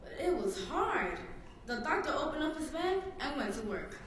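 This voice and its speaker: A teenage girl gives a dramatic narration from literature in a fairly high voice. She is far from the microphone, and the hall echoes heavily. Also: big pitch swings, normal speed, fairly bright tone. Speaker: student narrator. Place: public reading in a hall. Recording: very echoey hall, distant mic, faint noise.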